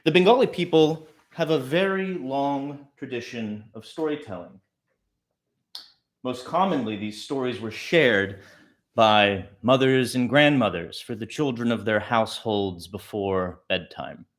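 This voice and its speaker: A man with a mid-pitched voice hosts a storytelling session. He narrates deliberately, breaking sentences into short phrases and pausing between them in the manner of a bedtime tale. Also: expressive. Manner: deliberate, phrase-by-phrase delivery with pauses. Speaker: storyteller host.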